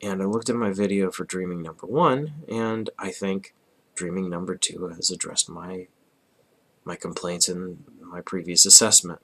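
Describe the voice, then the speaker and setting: A man in his thirties, hoarse from a cold, reviewing a comic casually and rambling with restarts. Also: low voice, steady tone, moderate pace, pushed and bright. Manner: rambling, frequent restarts and throat clearing.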